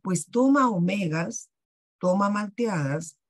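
A woman's voice: mid-range voice, expressive, slow, no echo, clean studio sound. A woman with a Peruvian Spanish accent hosts a wellness workshop on a video call, selling in a persuasive way and asking rhetorical questions. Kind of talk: sales presentation on a video call.

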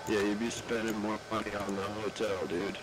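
A man's voice: on the low side, level tone, normal speed. A man chatting casually in a gamer voice chat, laid-back and slangy, swearing freely. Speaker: raid organizer in an online game group. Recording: noisy online gaming voice chat.

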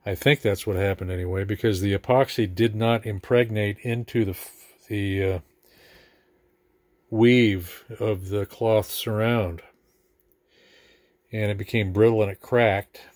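A man giving a casual, hands-on repair demonstration with halting, unhurried explanations. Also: on the low side, steady tone, dry recording, studio quality. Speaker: speaker repair technician. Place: small repair workshop, indoors.

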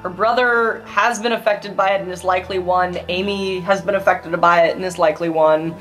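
A woman's voice: fairly low pitch, fairly even intonation, brisk, a bit bright, soft background music, rough recording. A woman chatting casually as a player in a tabletop roleplay game.

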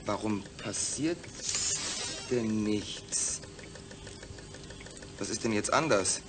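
An actor playing a wary, wry astronaut in a new body in a German radio play. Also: male voice, on the low side, extremely slow. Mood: wary, questioning.

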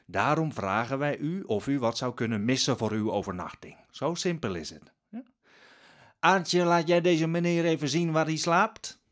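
A man's voice: on the high side, moderate pace, a bit bright, close to an everyday voice. An actor playing a poor, wary, plain-spoken peasant father in a radio drama, blunt and firm.

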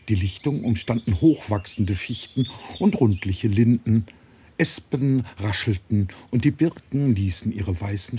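A man's voice: lowish voice, warm tone, a little hiss or hum. A middle-aged man reading a children's storybook as scripted audiobook narration. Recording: nature ambience and birdsong behind voice. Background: birds, forest ambience.

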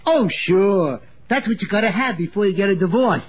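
Dim barfly sidekick, high swooping voice, 1950s radio comedy, very noisy old recording. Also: male voice, expressive, dull audio. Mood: dopey, earnest. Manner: dopey, slow-witted. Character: cheerful dim-witted slow barfly pal.

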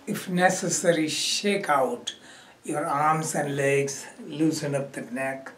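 A man leading a guided meditation as a yoga teacher, giving instruction in short phrases with pauses. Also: a little high. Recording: roomy echo, distant microphone.

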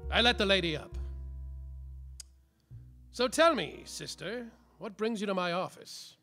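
An actor playing a semi-sober, world-weary, boastful private detective, narrating a comic noir radio play with theatrical flair. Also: male voice, high voice, lively intonation, measured pace, bright, forceful tone, faint music behind, full character voice. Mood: dramatic.

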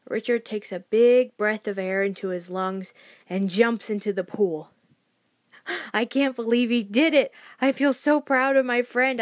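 A woman's voice: medium pitch, no echo, clean studio sound, natural voice. A woman reading a first-person story as a sensitive young child, anxious and loyal, in an emotive, naive, confiding way. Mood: anxious, earnest, then tearful, pleading.

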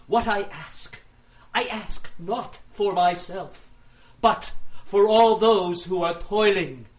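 A man's voice: sits high, slow pace, a little hiss or hum. An actor playing a pompous, aggrieved industrialist who pleads his own case with theatrical, grandiloquent delivery in an audio drama. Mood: grandiose, pleading.

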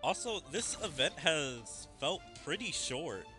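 Bright, lively male game streamer. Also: fairly high pitch, slow, faint music behind. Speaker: gaming streamer. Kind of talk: casual game commentary and read-aloud of dialogue.

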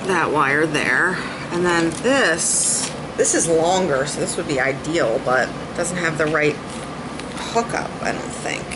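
A woman's voice: sits low, lively intonation, measured pace. A woman in her thirties chatting casually at home as she sorts cables and gets ready to rehearse, thinking aloud as she goes. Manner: chatty, thinking aloud while sorting gear. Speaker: beauty and music vlogger. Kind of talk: casual vlog chat. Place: small home kitchen, then a home office. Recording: audible background noise, rain and thunder outside.

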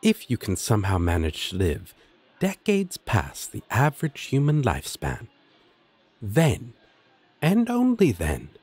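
A man's voice: medium pitch, a little mellow, breathy edge, heavily acted. A man playing a laid-back, affectionate demon roommate in a fantasy audio roleplay, casual and playful with a sardonic edge.

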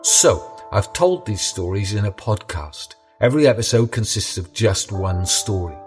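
A man's voice: on the low side, animated, normal speed, soft background music. A man who tells stories, reading a scripted promo for his podcast. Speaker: storyteller promoting own podcast.

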